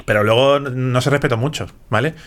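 A man's voice: mid-range voice, fairly bright tone. A man from Málaga with a mild Andalusian accent, hosting a chatty live stream and explaining things with many asides. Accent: Andalusian Spanish, mild. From Málaga, southern Spain. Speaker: host of a live chat. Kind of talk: casual live-stream chat.

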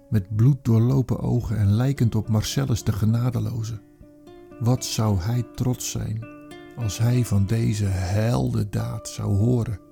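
An actor playing a haunted old Roman army commander looking back, in a halting, theatrical radio play monologue. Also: male voice, fairly low pitch, creaky, warm tone. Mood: shaken, grim. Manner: theatrical, halting, reflective, with emphatic repeated words.

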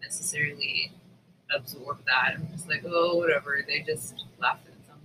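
A man's voice: a little high, steady tone, moderate pace, background noise. A man co-hosting a podcast in casual chat, with a self-deprecating, joking manner and easy swearing. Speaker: podcast co-host.

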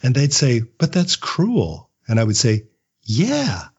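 A man's voice: medium pitch, huge pitch swings, takes it slowly, darkish tone, breathy edge, lo-fi sound. A man giving casual, reflective interview answers as a guest writer, often repeating words as he thinks. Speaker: podcast guest, audio drama writer; script writing teacher.

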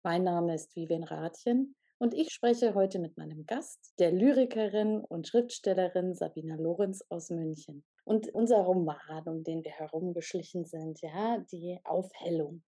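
A woman's voice: lowish voice, measured pace, hardly any pauses, mellow, slightly rough. A woman hosting a radio interview, asking curious questions in a friendly, casual way and laughing often.

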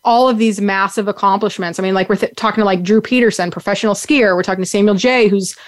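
A woman's voice: mid-range voice, fairly even intonation, fast talker, dry recording. A young adult woman hosting a podcast, chatting casually and upbeat, rambling with lots of "like" and "um". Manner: rambling, upbeat, many fillers like "like" and "um". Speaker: podcast host. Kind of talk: casual chat recap. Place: sitting on the ground.

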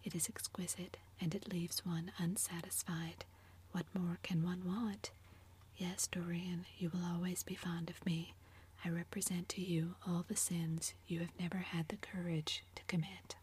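A world-weary aristocrat trades clever remarks in a hushed, level whisper, voiced by a woman narrator. The delivery stays low and unhurried, with faint ambient noise behind it. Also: level tone, close to an everyday voice. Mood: languid. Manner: whispered, epigrammatic, drawling. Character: languid witty aristocratic older English gentleman.

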